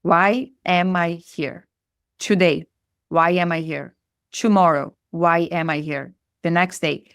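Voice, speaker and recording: A woman's voice: fairly low pitch, fairly even intonation, takes it slowly, clean tone, no room sound, clean studio sound. A woman from Brazil with a Brazilian Portuguese accent, a native Portuguese speaker, talking earnestly as a guest on a podcast and repeating phrases for emphasis. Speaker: spiritual mentor guest. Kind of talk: reflective podcast conversation.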